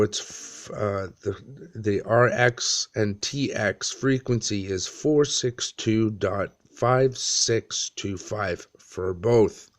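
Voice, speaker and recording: A man in his thirties giving a casual how-to walkthrough in a halting, plain-spoken way, pausing often. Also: lowish voice. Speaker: amateur tutorial presenter. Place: small dim room indoors.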